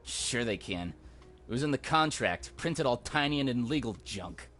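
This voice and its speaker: An actor voicing a bitter, guilt-ridden young household employee in a video game, casual and sardonic. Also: male voice, mid-range voice, expressive, moderate pace, a bit bright, faint music behind, close to an everyday voice. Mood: bitter, guilty.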